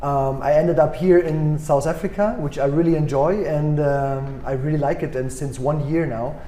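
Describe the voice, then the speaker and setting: A man's voice: on the high side, fairly even intonation, normal speed, dark tone, slight background noise. German-accented man, echoey room. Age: 40s. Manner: thoughtful, many ums and restarts. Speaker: guest executive. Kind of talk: casual interview answers.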